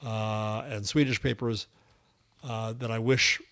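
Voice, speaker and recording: A man's voice: lowish voice, fairly even intonation, slow pace, a bit bright. An older man co-hosting a podcast in casual chat, wry and digressive, telling stories. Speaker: podcast co-host.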